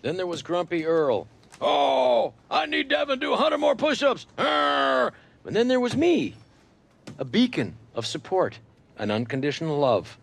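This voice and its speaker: A scheming, self-satisfied American football executive in a video game. His high voice creaks and swings widely in a theatrical, mocking way. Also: very animated, measured pace, full character voice. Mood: smug. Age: middle-aged. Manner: mocking, puts on exaggerated imitations. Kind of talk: video game cutscene acting.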